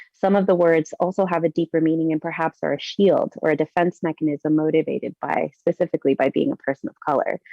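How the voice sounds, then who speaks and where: A woman's voice: low voice, no room sound, dull audio. A Canadian woman in her thirties giving an earnest, reflective personal presentation over a video call, with some fillers.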